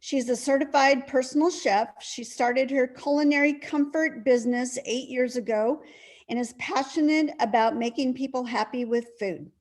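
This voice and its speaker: A woman's voice: high voice, normal speed, a little mellow. A woman reading a prepared introduction of a guest speaker at a club meeting on a video call.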